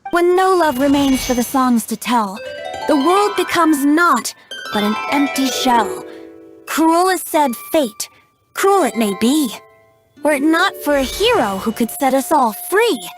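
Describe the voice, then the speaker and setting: A playful young male bard from a fantasy video game. The voice is high and very animated, lilting and theatrical, with music underneath. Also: measured pace. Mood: poetic. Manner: lilting, poetic, teasing. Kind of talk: English video game voice acting.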